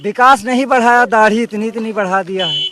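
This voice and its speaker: Guy with super high voice griping over and over in a street interview, bit of noise behind. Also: brisk, sharp tone. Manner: aggrieved, repetitive complaining.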